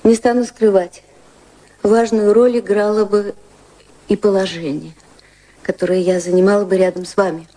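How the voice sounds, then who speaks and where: An actress playing a candid, proud younger society woman with a romantic streak in a radio play, speaking in a formal, frank, measured way. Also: medium pitch, fairly even intonation, warm tone, lightly acted, poor audio. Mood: frank, gentle.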